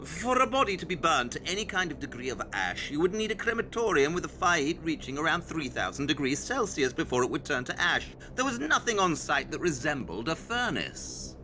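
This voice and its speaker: A sharp, forceful male detective in an audio play, brilliant and sure of himself. His delivery is precise and dryly superior, with lively swings of pitch over faint noise and rumble. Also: brisk, few pauses, poor audio. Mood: matter-of-fact. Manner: precise, deductive, dryly condescending. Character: brilliant, self-assured male detective, coolly instructing his companion. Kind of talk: audio drama acting, expository dialogue.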